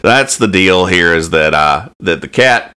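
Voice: low-pitched, lively intonation, a little slow, bright, forceful tone, clear, resonant tone. A podcast host chatting casually and jokingly about comic strips, often restarting as thoughts form.

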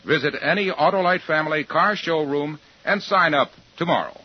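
A man's voice: fairly high pitch, moderate pace, slight background noise. An American man reading advertising as a commercial announcer in a 1950s radio style. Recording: muffled 1950s radio recording with music.

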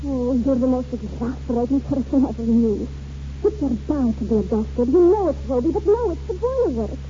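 A young sweetheart in a 1940s radio play. The voice is high, very mellow and swooping, romantic and easily exasperated, on a muffled recording. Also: female voice, animated, brisk, a little hiss or hum. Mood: exasperated, pleading.

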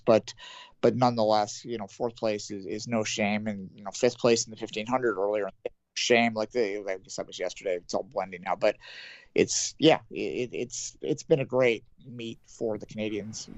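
A man co-hosting a sports podcast, talking thoughtfully with many halting restarts and fillers. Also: fairly low pitch, dry recording. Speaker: podcast co-host, sports analyst. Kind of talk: casual sports chat. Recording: dull, dry lo-fi mic.

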